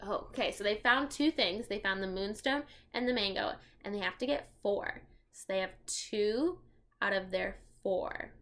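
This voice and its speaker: A young adult woman reading a children's book aloud in an expressive, animated manner, talking directly to her young listeners. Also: medium pitch, moderate pace. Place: small furnished room at home.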